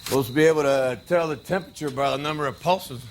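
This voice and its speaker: An actor playing a volatile, resentful drifter brother in a stage drama, with an American accent and a gruff, needling, profane manner. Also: male voice, a little high, quick pace, lightly acted. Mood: idle, brooding. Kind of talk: naturalistic stage-play acting. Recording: noisy recording, chirping crickets behind.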